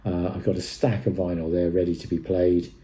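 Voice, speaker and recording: A man with a low-pitched, very mellow and relaxed voice reviews music off the cuff. He is enthusiastic but hesitant, rambling his way through his thoughts as he talks casually to camera. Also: a little fast, slight background noise.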